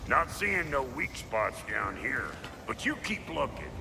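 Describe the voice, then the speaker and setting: An actor playing a gruff, foul-mouthed dwarf blacksmith in a video game, blunt and folksy, with a gravelly voice. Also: male voice, mid-range voice, big pitch swings, a little slow, very noisy. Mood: upbeat, coaching. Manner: folksy, blunt, colorful cussing. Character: gruff, foul-mouthed, cantankerous little dwarf blacksmith, middle-aged man. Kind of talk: video game character acting.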